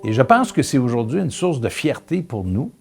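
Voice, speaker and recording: Gravelly Quebec-accented man, proud and emphatic. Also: mid-range voice, sing-song, moderate pace, a little mellow. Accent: Quebec French. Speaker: interviewee. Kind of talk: documentary interview. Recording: guitar music behind.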